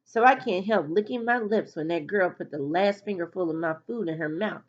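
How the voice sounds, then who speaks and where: A woman's voice: lowish voice, a little fast, highs cut off, lightly acted. Woman reading as a bitter, starving enslaved girl. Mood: bitter, hungry. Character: Alkema, starving, bitter enslaved girl writing her diary. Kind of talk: diary read-aloud within a novel.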